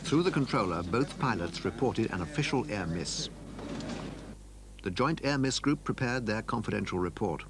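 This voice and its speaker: A man's voice: fairly low pitch, lively intonation, moderate pace, background noise. A man reading scripted narration for a documentary.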